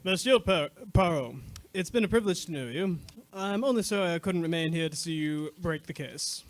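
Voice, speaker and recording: Gentleman impostor, male actor: radio play, higher male voice, effusive, formally polite, hurried. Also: natural voice. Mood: hurried politeness. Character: smooth-talking gentleman impostor, exposed by the detective. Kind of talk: radio mystery play acting.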